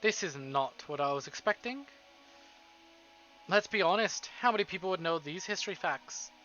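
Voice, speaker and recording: A man reading a visual novel aloud on a live stream, with casual, excitable, foul-mouthed reactions. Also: high-pitched, normal speed, muffled recording, faint music behind.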